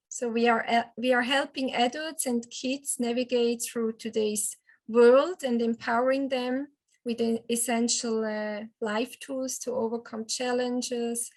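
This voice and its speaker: A woman from Switzerland, a native Swiss German speaker with a Swiss German accent, speaking earnestly as a health and wellness coach and often restarting her sentences. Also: fairly high pitch. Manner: earnest, with frequent restarts and hesitations. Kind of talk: personal testimonial.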